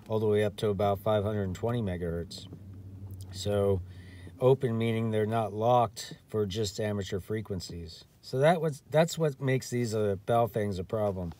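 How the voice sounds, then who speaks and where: A man talking casually on his own as an amateur radio operator, rambling with many fillers and restarts and clearing his throat now and then. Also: lowish voice, dark tone. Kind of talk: casual unscripted monologue.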